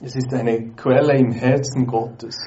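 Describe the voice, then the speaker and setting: A man's voice: medium pitch, little pitch movement, measured pace, dark tone, highs cut off. A man interpreting a sermon live, following closely behind the preacher's phrases.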